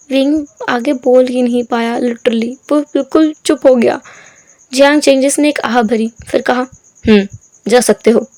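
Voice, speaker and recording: A woman narrates a story for her own channel, and her voice is hoarse and strained. She talks in a chatty, rambling way and mixes in English words. Her voice sits high, and the recording is dry and close. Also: darkish tone, dry recording. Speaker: story explainer, channel host.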